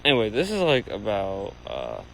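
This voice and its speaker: A man's voice: fairly low pitch, wildly swooping pitch, normal speed, creaky, very noisy. A man hosting a reaction video in casual, rambling commentary full of filler "uh"s.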